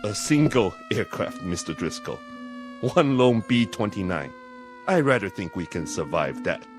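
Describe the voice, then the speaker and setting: Stern Japanese police chief, Japanese accent, low swooping pitch, formal, condescending, vintage TV drama, old soundtrack. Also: male voice, very animated, measured pace, over music. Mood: urbane, dismissive. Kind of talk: vintage television drama acting.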